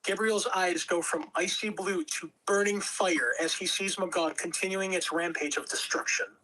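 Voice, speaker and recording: A man's voice: sits high, steady tone, normal speed, sharp tone, rough recording. Man narrating an action script. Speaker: narrator reading stage directions. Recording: amateur home recording.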